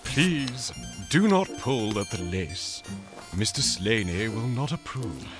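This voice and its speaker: Prim, animated English manservant. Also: male voice, on the low side, slow, music in the background. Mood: fussy, instructing. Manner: formal, precise, exasperated politeness. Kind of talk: radio drama acting.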